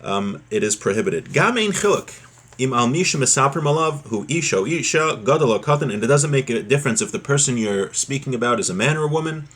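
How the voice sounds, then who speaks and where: Guy teaching religious law, kinda husky voice, middle pitch, chatty and explaining as he goes. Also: expressive, fast, a bit bright. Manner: conversational, explanatory, checks in with "right?". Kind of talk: informal religious lesson, reading a text aloud then explaining it.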